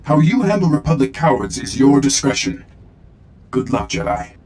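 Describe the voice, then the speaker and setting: Man acting a stilted droid with swooping pitch. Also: sing-song, moderate pace, darkish tone, a little hiss or hum, natural voice. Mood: clipped, scornful. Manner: stilted, formal robotic phrasing. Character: disdainful, literal-minded military droid, loyal to its maker. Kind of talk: video game voice acting.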